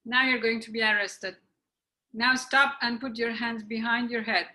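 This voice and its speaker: A woman's voice: a little high, flat delivery, normal speed, bright, forceful tone, poor audio. A woman reading a stern ferry cop. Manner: firm, commanding orders. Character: stern police officer on ferry. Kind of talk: readers theatre script reading.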